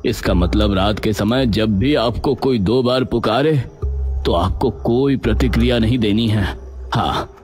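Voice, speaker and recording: A man playing a grave village elder who gives warnings in an animated horror story. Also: on the low side, a little slow, soft background music, natural voice. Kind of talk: acted animated story dialogue.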